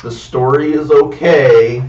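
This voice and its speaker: A man's voice: medium pitch, fairly even intonation, slow pace, darkish tone. A young man talking casually on a gaming podcast, sweary and given to emphatic exaggeration. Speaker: podcast guest discussing games. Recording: echoey room, distant dull mic.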